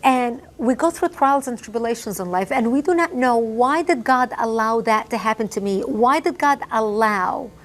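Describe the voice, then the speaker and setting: Middle-aged woman from Lebanon, Arabic native speaker, passionate interview testimony, slightly higher voice. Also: lively intonation, moderate pace, few pauses. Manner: emphatic, repeats phrases for effect. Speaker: interview guest. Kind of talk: impassioned testimony.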